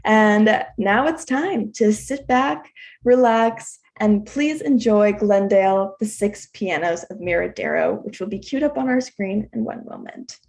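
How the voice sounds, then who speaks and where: A woman's voice: medium pitch, unhurried, creaky, a little mellow. An American woman giving a spoken welcome as host of an online webinar, heard over a video call. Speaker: event host, theater company marketing coordinator.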